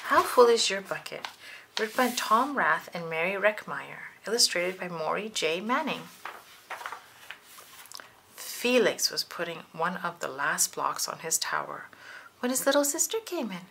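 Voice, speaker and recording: A woman reading a children's story aloud in an expressive, dramatic and deliberate narration style. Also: fairly low pitch, vocal fry, background noise. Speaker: storybook reader.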